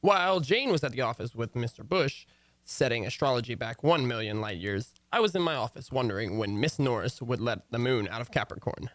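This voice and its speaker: An actor playing a put-upon husband in a radio comedy, with a dry, sardonic way of talking. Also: male voice, mid-range voice, animated, brisk, no room sound, natural voice. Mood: wry. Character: wry, put-upon husband narrating his domestic troubles. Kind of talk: old-time radio comedy narration and dialogue.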